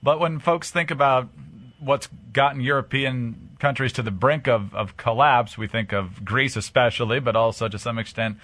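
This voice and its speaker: A man hosting a radio interview, reading smooth introductions and putting questions to his guest. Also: mid-range voice, normal speed, a bit bright, no room sound. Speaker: radio talk show host.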